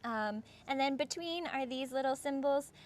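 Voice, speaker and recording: Higher-pitched young American woman, noisy recording. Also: moderate pace. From United States. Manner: informal, frequent 'like' and 'sort of'. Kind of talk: casual explanatory talk. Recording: clear background noise, ambient sound.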